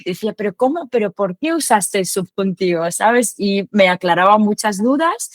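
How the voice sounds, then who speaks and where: A young adult woman speaks a language she learned later in life, chatting loosely as an interview guest. She pauses often with filler sounds. Her voice sits mid-range, recorded close and dry. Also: medium pitch, animated, no room sound. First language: not Spanish. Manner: chatty, hesitant, many fillers. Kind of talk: casual conversational interview.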